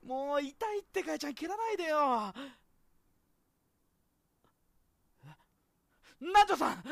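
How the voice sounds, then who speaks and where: An actor playing a goofy, excitable high school upperclassman in a youth comedy voice drama. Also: a little fast, gravelly, dry recording, clean studio sound, exaggerated voice. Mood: flustered.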